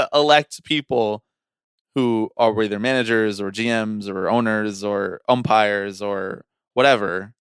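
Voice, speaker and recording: A man's voice: on the low side, takes it slowly, fairly bright tone, clean tone, no room sound, studio quality. A man co-hosting a podcast in casual, chatty banter with a jokey manner. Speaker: podcast co-host.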